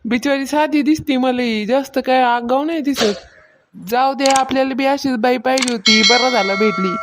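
Woman acting a bossy high-voiced Varhadi household mistress. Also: moderate pace, background noise, lightly acted. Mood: self-satisfied, demanding. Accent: Varhadi Marathi, rural Maharashtra. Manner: bossy, nagging, colloquial. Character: bossy, stingy, self-important village mistress of the house. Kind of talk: Marathi cartoon comedy skit.

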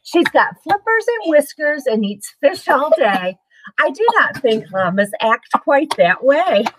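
A middle-aged woman reading a children's picture book aloud in an expressive, sing-song way for young listeners. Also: sits high, measured pace, a little hiss or hum, low-quality recording. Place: small home room with bookshelves, indoors.

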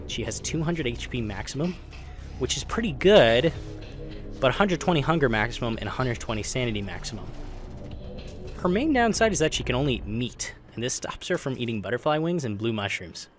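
A man's voice: medium pitch, very animated, fairly quick. A man hosting a gaming video, giving a scripted explainer in an informal, matter-of-fact way. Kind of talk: scripted explainer narration of a game character ranking. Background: music behind the voice.

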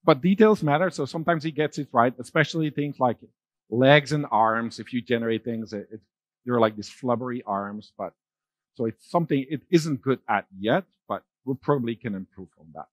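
A middle-aged man giving a conference keynote on a large dark stage, talking loosely with fillers and restarts. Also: medium pitch, normal speed, no echo. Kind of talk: keynote talk with slides.

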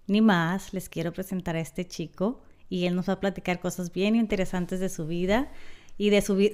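A woman in her thirties hosting a casual podcast interview, chatty and animated, on a studio mic in a small studio room. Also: medium pitch, smooth. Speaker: podcast host. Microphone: studio mic on boom arm, headphones.

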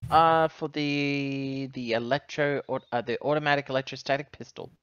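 A man's voice: mid-range voice, little pitch movement, normal speed, fairly bright tone, smooth, lo-fi sound. A man chatting casually as a player in a tabletop role-playing game.

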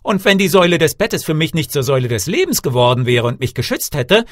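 A man voicing an excitable, theatrical fairy-tale king in an audiobook reading. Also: on the high side, fast, no room sound, studio quality, pitched above the performer's own voice. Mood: indignant. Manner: theatrical, exclamatory, loud when alarmed. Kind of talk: storybook character voice in audiobook reading.

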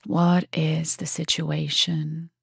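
A woman guiding a meditation in a soft, breathy, husky voice that often nears a whisper, gentle and unhurried with long pauses. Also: low-pitched, little pitch movement, no room sound, clean studio sound. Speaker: meditation guide. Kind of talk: guided meditation, soft and soothing delivery.

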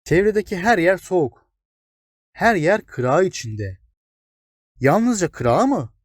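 A man's voice: high-pitched, sing-song, takes it slowly, no room sound, studio quality, pitched above the performer's own voice, exaggerated voice. Man voicing a wise, patient old plane tree. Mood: grave, pitying. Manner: explains patiently, exclaims and addresses small listeners. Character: old, wise, towering plane tree, kindly but grave. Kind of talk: children's storybook character reading.

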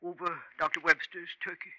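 An actor playing a folksy, nosy janitor who rambles suspiciously, in a 1950s radio drama. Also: male voice, fairly high pitch, level tone, brisk, bright, forceful tone, no echo, poor audio. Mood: suspicious, hesitant.

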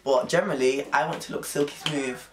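A hoarse young man from England with a Nottingham accent, chatting playfully to camera in his room. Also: a little high, fast talker, roomy sound, slight background noise. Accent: Nottingham English. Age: young adult. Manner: chatty, animated, playful slang. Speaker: vlogger. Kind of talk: casual chat to camera. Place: bedroom at home, indoors.

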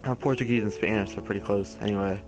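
Low-voiced man laughing through game chat. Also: fairly even intonation, fast, poor audio. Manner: laughs often, rambling and self-mocking. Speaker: streamer. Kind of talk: casual gaming chat. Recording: music playing behind.

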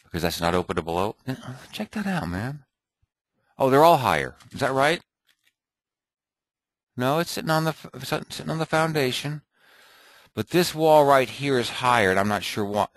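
A man thinking aloud and muttering to himself as he clicks through an off-the-cuff software tutorial at his computer. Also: medium pitch, big pitch swings, moderate pace, pauses often, no room sound. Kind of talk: off-the-cuff screen recording tutorial.

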